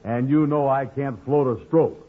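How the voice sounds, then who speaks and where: An actor playing a sheepish, gullible, slow-witted man in old radio comedy, speaking hesitantly in folksy dialect grammar. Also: mid-range voice, steady tone, natural voice. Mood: sheepish, evasive. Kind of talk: 1940s radio comedy acting. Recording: muffled, noisy vintage radio recording.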